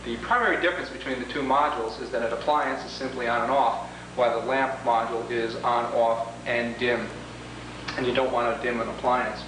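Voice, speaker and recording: A man of average pitch narrates a homemade 1980s training video. He explains procedures methodically and formally, one step at a time. The sound is very reverberant and distant, with clear background noise. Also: steady tone, brisk. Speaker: product demonstrator and instructor. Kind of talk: instructional training-tape walkthrough.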